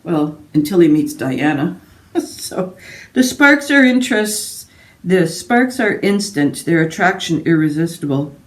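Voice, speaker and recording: A woman's voice: lowish voice, expressive, a little slow. A woman chatting casually about books as a vlogger, rambling and interrupting herself with asides. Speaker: book vlogger. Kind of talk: casual book chat to camera. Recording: roomy echo, distant from mic.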